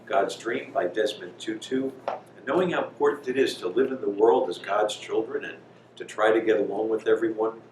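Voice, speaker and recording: Man, lowish voice, gentle priest storyteller, deliberate phrasing for children, distant noisy recording. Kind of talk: slow storybook reading with simple explanations for children. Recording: roomy, distant microphone, clear background noise.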